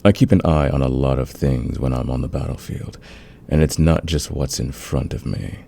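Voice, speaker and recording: Guy doing a smug villain flirting with his rival, super deep gravelly voice, asmr roleplay, teasing and close. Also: steady tone, dark tone, slight background noise. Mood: confiding, smitten. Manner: teasing, intimate, drawn-out confiding delivery. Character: flirtatious, smug male fantasy villain, secretly smitten rival. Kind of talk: romantic ASMR roleplay acting.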